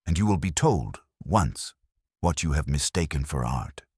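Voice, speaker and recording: A man voicing an imperious, erudite older detective in an audiobook, unhurried and pompous, with ornate, commanding phrasing. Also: a bass voice, lively intonation, darkish tone, slightly rough, no room sound, studio quality, full character voice. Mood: calm, imperious. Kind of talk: audiobook detective story dialogue.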